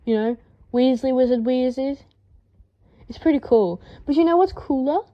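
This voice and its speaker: A woman voicing an eager, tactless young male wizard in an audiobook, blurting and excitable, in a dull lo-fi recording. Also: sits high, normal speed, natural voice. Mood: eager, chatty. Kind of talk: audiobook character voice.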